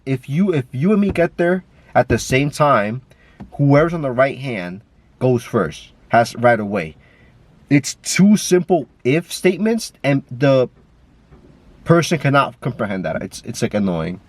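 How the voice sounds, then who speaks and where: A man with a stuffy nose, talking in a casual, rambling way with lots of fillers and swearing, filmed on a camera while driving. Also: mid-range voice. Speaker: vlogger. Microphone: camera mic.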